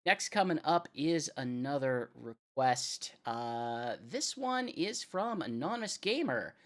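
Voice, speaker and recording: Female streamer, low lively voice, unhurried and self-deprecating, casual rhythm-game chat. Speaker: live music stream host.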